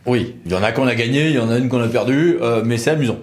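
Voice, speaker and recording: A man's voice: mid-range voice, quick pace. A man giving an informal expert explanation in a colloquial way, like a lawyer talking through procedures. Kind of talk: informal legal explainer discussion.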